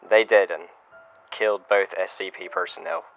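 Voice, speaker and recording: A man playing a shaken security officer, giving a measured first-person incident report as a scripted audio log. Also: fairly low pitch, sing-song, gravelly. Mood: grave, measured. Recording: muffled lo-fi radio-style sound, music behind. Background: music.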